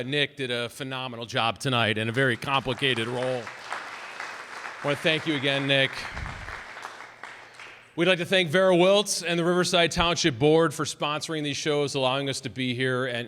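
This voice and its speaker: Male presenter, mid-pitched, hesitant and unscripted, thanking people in an echoing hall with some crowd noise. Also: fairly bright tone, smooth. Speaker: show presenter giving thanks and closing remarks. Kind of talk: informal live stage remarks. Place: live hall with an audience. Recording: reverberant hall with audience noise.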